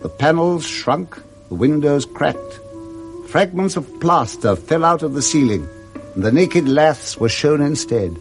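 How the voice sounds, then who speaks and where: Expressive male storyteller with sweeping intonation. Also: on the high side, unhurried, soft background music. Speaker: narrator. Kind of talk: scripted literary narration, 1960s radio drama.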